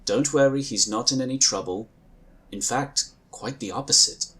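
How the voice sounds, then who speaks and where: A man voicing a calm, dutiful space agent. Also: mid-range voice, lightly acted. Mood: calmly insistent. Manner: measured, polite, firm. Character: calm, dutiful male psionic scion agent. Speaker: character in audio drama.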